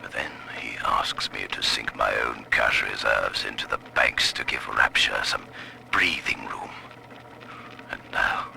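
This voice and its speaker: A man playing a proud, despairing middle-aged railway tycoon in a gravelly, dramatic game audio log. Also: extremely low pitch, bright, forceful tone, exaggerated voice. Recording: noisy old audio-log recording.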